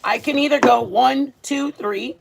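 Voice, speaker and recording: Chatty lady showing a recipe in her kitchen, kinda high voice, real casual, talks to the viewers like pals. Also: unhurried, gravelly, noisy background. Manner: chatty, informal, addresses viewers as guys. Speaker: home cooking vlog host. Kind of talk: casual cooking demonstration to camera. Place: home kitchen.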